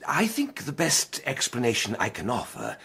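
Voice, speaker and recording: An actor playing a formal, measured and sceptical Victorian London doctor in a radio drama. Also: male voice, mid-range voice, wildly swooping pitch, creaky, sharp tone, husky, full character voice. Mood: earnest, reassuring. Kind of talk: period radio drama acting.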